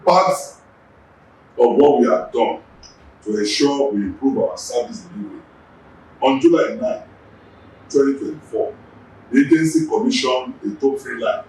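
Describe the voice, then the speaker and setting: A Yoruba-speaking Nigerian man, a traffic agency's general manager, giving measured interview answers and often repeating words as he gathers his thoughts. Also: mid-range voice, a little mellow, recorded from a distance. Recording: echoey room, voice off-mic.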